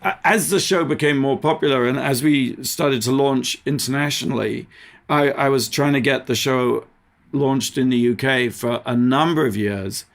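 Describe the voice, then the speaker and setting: A man's voice: medium pitch, moderate pace, gravelly. A man talking casually as a guest in an interview. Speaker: podcast guest, TV production company co-founder.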